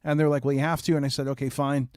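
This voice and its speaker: A man's voice: medium pitch, little pitch movement, very fast, a little mellow, clear, resonant tone, no room sound. A man hosting a game stream in casual, informal chat with jokey asides, speaking close to the microphone. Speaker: streamer and host.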